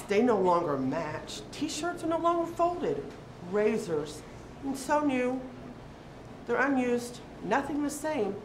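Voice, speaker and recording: A woman giving a dramatic reading of a literary piece, deliberate, with pauses between short phrases. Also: a little high. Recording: echoey room with background noise.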